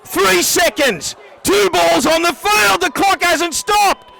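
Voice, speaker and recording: Excitable Australian man calling footy. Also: very high voice, takes it slowly, creaky, a faint crowd. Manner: excitable, repeats himself. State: nearly losing his voice. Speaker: sports commentator. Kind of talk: excited live match commentary.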